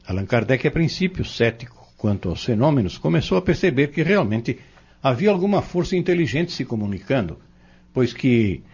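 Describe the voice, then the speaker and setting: A man's voice: mid-range voice, a little mellow, breathy edge. A man playing a learned, patient spiritist mentor in an audio novel, speaking formally and didactically with a Brazilian accent. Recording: dull lo-fi audio drama recording.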